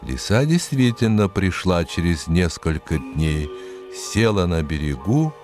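A man narrating a fairy tale expressively, in a measured, dramatic way that savours the words. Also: sits low, big pitch swings, a little mellow, soft background music. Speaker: storyteller, narrator.

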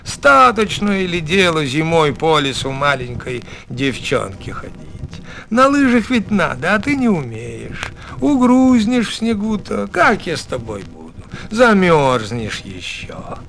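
Man doing a fussing, very animated old grandfather. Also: on the high side, measured pace, vocal fry, a little hiss or hum, full character voice. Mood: worried. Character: kindly old village grandfather, fond and cautious. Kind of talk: dramatised fairy-tale storytelling.

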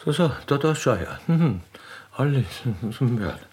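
A man's voice: medium pitch, sing-song, a little slow, a little mellow, voice pushed up. A man with an Austrian accent voicing dim, baffled aristocrats who stammer and repeat themselves. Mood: pondering. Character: baffled count and his dim, stammering son.

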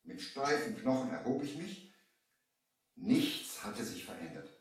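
A man's voice: mid-range voice, big pitch swings, measured pace. An actor playing a grave traveler who tells of his own ordeal, solemn and theatrical, in a radio play. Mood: grave, dazed. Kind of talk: dramatic storytelling in a radio play. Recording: echoey distant old vinyl record.

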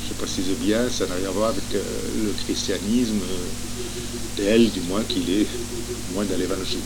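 A man's voice: low voice, fairly even intonation, normal speed. An older man from Spain giving a hesitant, reminiscing talk full of fillers and restarts, telling stories from his childhood. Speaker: guest speaker, former academic and author. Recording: noticeable background noise and hum.

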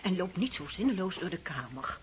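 A woman's voice: lowish voice, big pitch swings, brisk. An actress in a Dutch radio play, playing an exasperated middle-aged mother who is reproving yet caring. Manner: reproving, weary. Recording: faintly noisy old radio recording.

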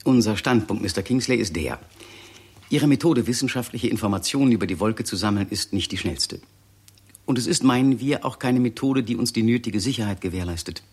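A diplomatic state official in a 1960s radio play. He is courteous and measured with an insinuating edge, in a lowish, husky voice. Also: expressive, a little mellow. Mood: formal, persuasive. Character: smooth-mannered, diplomatic British government official. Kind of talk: 1960s German radio drama acting.

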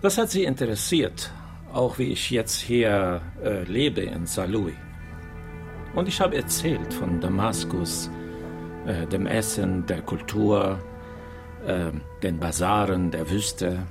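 An actor playing a polite middle-aged refugee teacher from Syria in a naturalistic radio drama, speaking carefully and haltingly. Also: male voice, low-pitched, expressive, gravelly, music in the background, heavily acted. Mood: hesitant, explaining. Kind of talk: naturalistic German radio crime drama acting.

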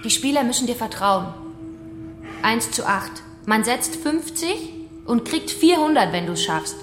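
A high-voiced female kidnapper from a radio crime drama, coolly mocking and matter-of-fact as she runs her betting game. Her voice echoes in the room over clear music. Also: very animated, a little slow. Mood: cool, explanatory. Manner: controlled, taunting, matter-of-fact. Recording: echoing room with music behind.

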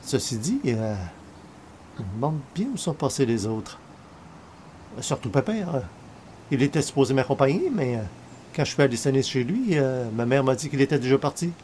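A man's voice: medium pitch, lively intonation, moderate pace, a little mellow, slight background noise, natural voice. A man playing a polite but grumbling everyman with a Quebec French accent, colloquial and hesitant, in radio comedy style. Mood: wry grumbling. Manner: hesitant, colloquial, sardonic asides. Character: everyman newspaper columnist, polite but wryly grumbling guest. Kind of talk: old-time radio comedy acting.

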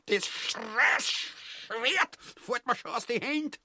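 Radio farce: cowardly, greedy, babyish king, Austrian accent, very high and shrill, overwrought whining and bluster. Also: takes it slowly, bright, forceful tone, exaggerated voice. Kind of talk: theatrical grotesque farce radio acting.

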